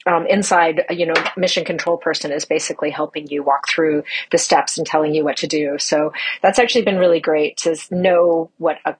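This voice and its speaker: A woman with a slightly low, noticeably husky voice gives an informal spoken update as a crew commander. She talks in an upbeat, emphatic way, stressing how things are. The delivery is unscripted, like a personal audio log. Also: fairly even intonation, brisk, hardly any pauses, low-quality recording. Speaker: mission commander of a Mars analog crew.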